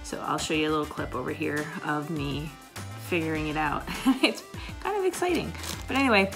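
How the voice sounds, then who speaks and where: Low raspy-voiced woman chatting casually. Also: expressive, brisk, music in the background. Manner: chatty, rambling with fillers. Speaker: vlogger, paramedic. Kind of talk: casual coffee chat to camera. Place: home kitchen, indoors.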